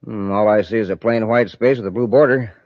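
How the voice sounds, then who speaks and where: An actor playing an impatient, demanding criminal in an old-time radio space serial. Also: male voice, fairly low pitch, fairly quick, dry recording, lightly acted. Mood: impatient, skeptical. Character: impatient male criminal partner, gruffly demanding. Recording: dull lo-fi old radio recording.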